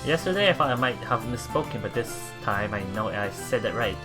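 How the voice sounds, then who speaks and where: A man hosting a let's play, reading game text aloud and adding casual, halting commentary with repeated words and exclamations. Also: mid-range voice, animated. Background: game music.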